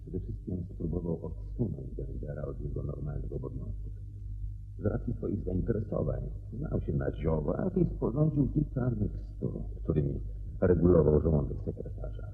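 A man acting in a radio play as a brilliant, assured detective, explaining methodically and deliberately with theatrical pauses. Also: a bass voice, sing-song, exaggerated voice. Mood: explanatory, measured. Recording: dull radio recording, faint noise and hum.

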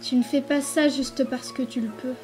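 High-voiced earnest young boy, played by a woman. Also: steady tone, moderate pace, soft background music, lightly acted. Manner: earnest, soft-hearted, insistent. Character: gentle, stubborn, pleading young human boy. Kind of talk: dramatic comic fan-dub acting.

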